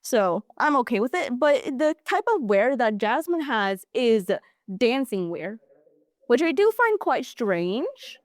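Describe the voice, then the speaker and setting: Chatty teenage girl, fairly high voice. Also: very animated, slow, no echo, studio quality. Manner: chatty, many filler likes. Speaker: student podcast host. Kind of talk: casual chat.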